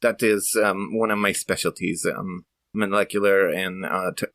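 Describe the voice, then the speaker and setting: A man playing an earnest woman scientist in a tabletop game, speaking in a halting, precise, formal way. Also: deep voice, steady tone, fairly bright tone, dry recording, clean studio sound. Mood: earnest, polite.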